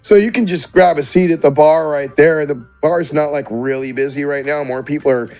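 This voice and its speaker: A man's voice: a little high, fairly even intonation, normal speed, a little mellow. A man running a tabletop role-playing game, improvising scene descriptions in a conversational way. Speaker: game master. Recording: music behind the voice.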